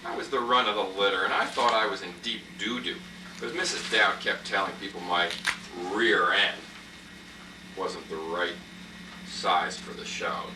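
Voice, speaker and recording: A man's voice: low-pitched, steady tone, unhurried. A man voicing a chatty, cheerful runt puppy telling its life story in an amateur puppet show. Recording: echoey, noisy room from far off.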